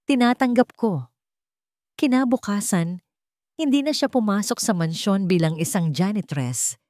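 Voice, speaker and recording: A woman's voice: mid-range voice, lively intonation, moderate pace, a little mellow, dry recording, clean studio sound. A woman narrator reading a scripted romantic drama in a storytelling style.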